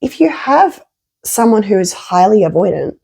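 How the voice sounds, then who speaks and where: A woman therapist with a croaky, flu-affected voice, talking candidly and expressively in a podcast conversation. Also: mid-range voice, normal speed. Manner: expressive, emphatic, acts out quoted phrases. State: has a flu.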